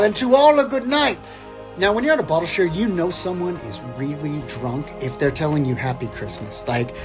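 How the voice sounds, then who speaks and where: A man with a fairly high voice performs over faint instrumental Christmas music. He moves between theatrical verse recital and wry, casual side commentary, keeping an irreverent comic edge. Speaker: guest reader, comedic poem reciter. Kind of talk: dramatic poem recital broken by casual joking asides.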